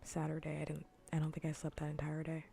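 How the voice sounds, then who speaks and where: Casting: female ASMR stream host, whispery and low, even intonation, dryly funny casual rambling, noticeable background noise. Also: fast talker. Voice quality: soft, whispery, breathy. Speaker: livestream host.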